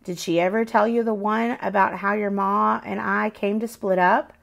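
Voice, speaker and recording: Woman as a gentle storybook father. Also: mid-range voice, fairly even intonation, moderate pace, gravelly. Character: gentle, loving father telling stories.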